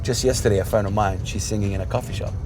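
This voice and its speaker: Rambling Saudi-born man, low voice. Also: steady tone, very fast, slight background noise, rough recording. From Saudi Arabia. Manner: rambling, warm, restarts often. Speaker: podcast host. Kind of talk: casual interview in a car. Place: inside a car. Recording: car interior with music behind.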